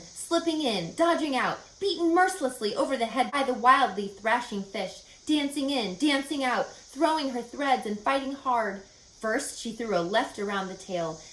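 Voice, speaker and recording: A woman voicing a kindly, bossy, quick-witted female spider in a children's storybook, articulate and telling tales with relish. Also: a little high, a bit bright, echoey room, slight background noise, low-quality recording. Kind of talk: children's storybook read-aloud.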